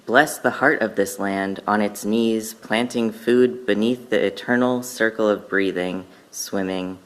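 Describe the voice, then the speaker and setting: A man reciting poetry at a worship service in a solemn, measured, incantatory way. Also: fairly low pitch, steady tone.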